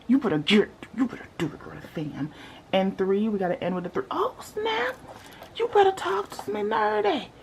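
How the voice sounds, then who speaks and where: A woman's voice: fairly high pitch, moderate pace, gravelly, a little hiss or hum. A young woman with a sleepy morning voice, chatting playfully with her viewers while filming a budgeting vlog at home. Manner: playful, animated, talks to viewers as y'all. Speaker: budgeting vlogger.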